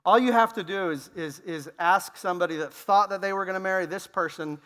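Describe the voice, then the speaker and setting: Bright-voiced preacher in a reverberant hall. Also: male voice, high-pitched, smooth. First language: English. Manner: speaks in short phrases, pausing for interpreter. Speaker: guest preacher. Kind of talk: sermon with live interpretation. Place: church sanctuary. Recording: long church hall reverb.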